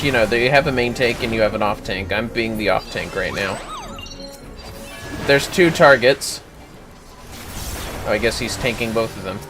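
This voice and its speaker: A man hosting a livestream, casually commenting on a game and explaining how to play it. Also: medium pitch. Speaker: livestream host, gamer. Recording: game music behind the voice. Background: game music.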